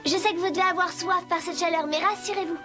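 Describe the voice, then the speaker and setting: Very high, sweet cartoon maiden. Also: female voice, steady tone, quick pace. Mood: busy, cheerful. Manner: gentle, earnest. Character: sweet, patient, hard-working young servant girl. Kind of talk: dubbed cartoon acting. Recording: music under the voice.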